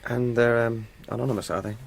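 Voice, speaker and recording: An actor playing an awkward, concerned young adult English son in a naturalistic radio drama, with a husky, creaky voice and a hesitant, tentative way of talking. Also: male voice, medium pitch, steady tone, a little fast.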